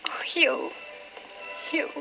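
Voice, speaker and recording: An actress playing an anguished, impatient politician's wife in an old-time radio drama. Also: female voice, drawn-out words, pushed and bright, soft background music, exaggerated voice. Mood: desperate. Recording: muffled old radio broadcast with hiss.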